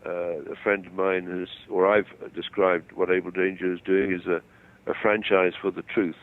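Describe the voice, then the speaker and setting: Older male phone-in guest, low-pitched, halting yet self-assured, narrow tinny telephone sound. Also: flat delivery. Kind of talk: conversational explanation and opinion over the phone.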